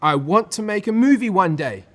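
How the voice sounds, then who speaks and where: A man's voice: high voice, expressive, normal speed, voice pushed up. A man voicing a shy but eager eight-year-old boy in a children's storybook, with an Australian accent and an earnest, simple delivery. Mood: eager, hopeful. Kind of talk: children's storybook read aloud.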